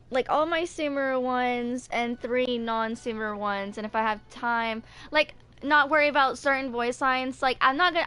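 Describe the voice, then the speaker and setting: A woman's voice: a little high, sharp tone, clean tone. A woman in her twenties reading and chatting casually as a video creator in a home recording. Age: 20s. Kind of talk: casual reading aloud and chat for a fan video. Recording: home recording with slight background noise.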